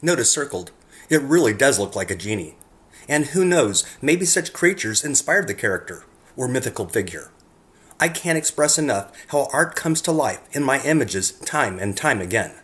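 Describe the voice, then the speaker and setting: A man's voice: mid-range voice, sing-song, moderate pace, a bit bright. A man reading scripted narration in an earnest way, speaking directly to the viewer as he presents his work. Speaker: narrator presenting own photographs.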